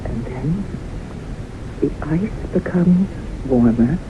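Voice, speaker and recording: An actress playing a haunted, earnest woman in a 1970s radio drama, speaking with halting, deliberate intensity. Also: deep voice, expressive. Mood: haunted, slow. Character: haunted, earnest woman convinced death pursues her. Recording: noisy, muffled 1970s radio broadcast recording.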